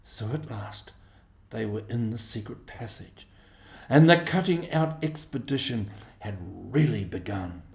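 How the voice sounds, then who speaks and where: A man narrating a storybook in a measured, expressive way. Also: on the low side, gravelly, echoey room. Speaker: audiobook reader.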